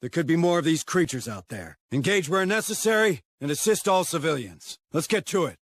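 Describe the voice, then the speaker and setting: An actor playing a blunt, no-nonsense military officer giving a mission briefing in a game. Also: male voice, on the high side, sing-song, brisk. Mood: businesslike. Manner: blunt, colloquial.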